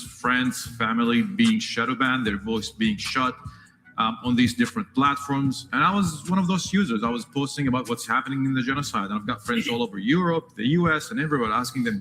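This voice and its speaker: An earnest man from Palestine speaks at a mid pitch, circling back and restarting his sentences as he goes. His voice carries a long reverb tail, as if the recording was made in a big hall. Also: brisk, fairly bright tone. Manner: earnest, repetitive, restarts often. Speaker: tech founder interviewed. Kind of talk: earnest talk about his platform.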